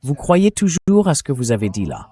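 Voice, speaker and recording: A man's voice: medium pitch, wildly swooping pitch, brisk, warm tone, breathy edge. An AI-voiced man speaking as a talk show host, giving dubbed political commentary. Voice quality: synthetic AI voice.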